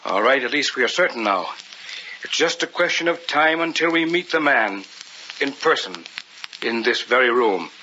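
An actor playing a zealous, commanding occultist in a theatrical, urgent old-time radio horror style. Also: male voice, medium pitch, animated, moderate pace, background noise, lightly acted. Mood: disappointed, resolute. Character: zealous, commanding male occultist, obsessed with a ritual. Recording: dull old radio recording, music behind.

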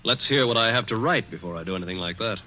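A man's voice: fairly low pitch, lively intonation, quick pace, lightly acted. An actor playing a proud, struggling man in a 1940s radio suspense play, speaking with dry, restrained defiance. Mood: grudging. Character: proud, defiant struggling younger man, a guest who hates his host. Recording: muffled, hissy 1940s radio recording.

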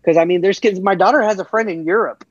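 A middle-aged man with a slightly raised pitch, trading loose, profane banter. His voice is recorded dull and lo-fi, completely dry with no room sound. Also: quick pace, dry recording. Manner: laid-back, profane banter. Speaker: podcast co-host. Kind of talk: casual chat.

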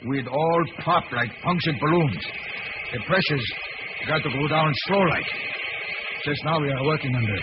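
A man's voice: on the high side, moderate pace, long pauses, lightly acted. An actor playing a bossy, wry working-class crew boss with slangy tough-guy talk in old radio drama style. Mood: wry, warning. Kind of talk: 1940s radio acting. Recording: noisy muffled old radio recording.

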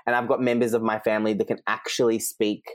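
A speaker in their thirties from Australia, telling stories in casual chat, animated and exclamatory, quick to swear. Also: medium pitch, no echo. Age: 30s.

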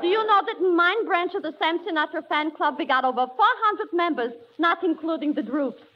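An actress playing a brash, indignant Brooklyn fan club president in a 1940s radio comedy on a noisy old recording. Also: female voice, very high-pitched, expressive, a little fast, muffled recording, crowd noise. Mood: haughty.

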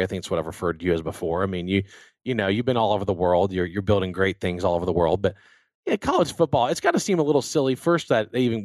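An American man with a fairly low voice hosts a casual talk show. He banters about sports in an emphatic way, often repeating phrases. The sound is dry, with little room echo. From Louisiana and Texas, United States.

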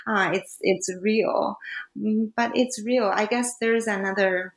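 Non-native woman author, halting and slow, roomy echo. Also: mid-range voice, steady tone. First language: not English. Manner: hesitant, many fillers and restarts. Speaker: author, guest. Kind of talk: academic interview.

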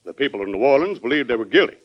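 An actor playing a defensive, deferential state governor in a classic radio drama, shifting between hesitant deference and emphatic self-justification. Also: male voice, medium pitch, expressive, rapid-fire, close to an everyday voice. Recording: dull old radio broadcast recording.

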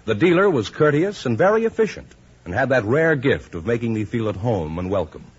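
Animated, husky American male radio pitchman. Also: fairly quick. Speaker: announcer reading a sponsor letter. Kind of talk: scripted 1950s radio advertisement reading. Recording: muffled old radio recording.

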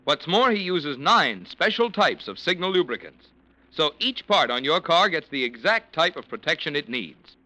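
A man's voice: a little high, big pitch swings, moderate pace, bright, forceful tone, rough recording. A man with an American accent, reading polished, emphatic announcements and sponsor copy in 1940s radio style.

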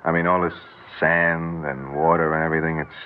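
An actor playing an easygoing young boxer from the Bronx in an old radio drama, with a hesitant, plain way of talking. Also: male voice, rumbling low, level tone, background noise. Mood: relaxed, teasing. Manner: hesitant, slow-starting, plain-spoken. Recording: tinny old radio broadcast, music behind.

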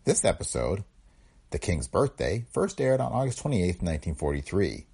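A man reads a scripted podcast introduction. His voice is dark and husky, with very animated pitch movement. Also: normal speed. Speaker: podcast host. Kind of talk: scripted narration.

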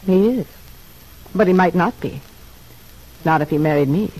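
A woman's voice: fairly low pitch, normal speed, a little mellow, lightly acted, rough recording. An actress playing a scheming, short-tempered young woman in prison, with a curt, defensive manner, in an old-time radio drama. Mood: defensive, calculating.

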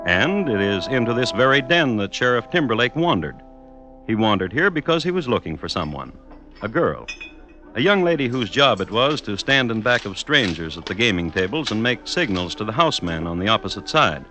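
An actor playing a wry American storyteller, narrating an old-time radio western with deadpan formality. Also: male voice, on the low side, brisk, faint music behind. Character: wry male storyteller of Old West tales.

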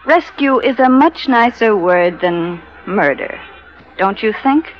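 A coquettish, calculating woman in old radio drama style. Her pitch is middling but moves in big swoops, sweetly arch in phrasing. The sound is dull and lo-fi, with faint music underneath. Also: expressive, slow pace. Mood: arch, coy. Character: cool, coquettish, scheming femme fatale. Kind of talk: 1940s radio drama acting. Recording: muffled old radio recording.

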